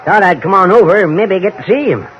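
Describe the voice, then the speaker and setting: An actor playing a cheerful, chatty little rustic drifter with an American Western accent and folksy backwoods speech in an old-time radio drama. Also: male voice, high-pitched, lively intonation, fast, background noise. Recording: dull old radio recording.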